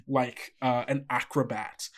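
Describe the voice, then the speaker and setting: A man's voice: medium pitch, fairly even intonation, slow. A man working as game master, improvising descriptive narration in a casual way peppered with "like". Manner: descriptive, frequently hedging with "like".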